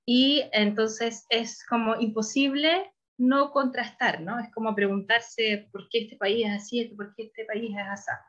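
A woman's voice: fairly high pitch, fairly even intonation, measured pace, hardly any pauses, fairly bright tone, highs cut off. A woman, a researcher answering interview questions in a thoughtful, self-correcting way. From Chile. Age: 40s. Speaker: researcher guest.

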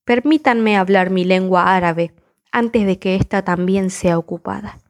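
A woman's voice: medium pitch, unhurried. A woman reciting spoken word poetry in a defiant, impassioned, rhythmic delivery.

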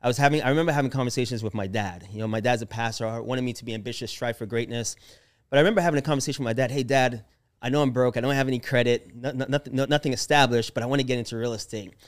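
Smooth-voiced man in his thirties. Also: medium pitch, racing. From Jamaica. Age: 30s. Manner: animated, laughs often, expressive storyteller. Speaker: guest, real estate investor. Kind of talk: casual interview storytelling.

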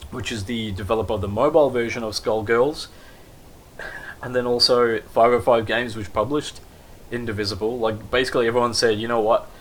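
A man's voice: medium pitch, a little hiss or hum. A man giving a casual, rambling recap of news, with lots of basically and kind of.

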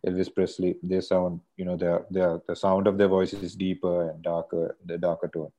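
A middle-aged singer from Sri Lanka, a guest in an interview, talking casually with many fillers and restarts. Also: male voice, deep voice, level tone, brisk, mellow, lo-fi sound. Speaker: guest, classical baritone singer.

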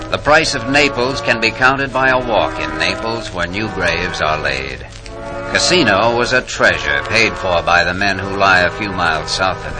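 An actor playing a solemn memorial narrator in scripted 1940s radio style. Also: male voice, sits low, rough recording. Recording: old 1940s radio recording.

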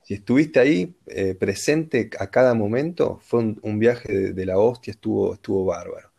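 A man's voice: fairly low pitch, normal speed, gravelly, warm tone, breathy edge. A man with an Argentine Rioplatense accent, talking reflectively and philosophically in a casual conversation.

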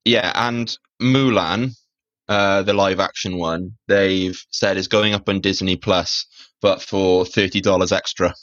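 Young guy, really deep voice, rambly podcast chat, kinda muffled dry sound. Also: steady tone, unhurried, a bit bright, no room sound. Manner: rambling, filler-heavy, opinionated. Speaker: podcast co-host. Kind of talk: casual chat.

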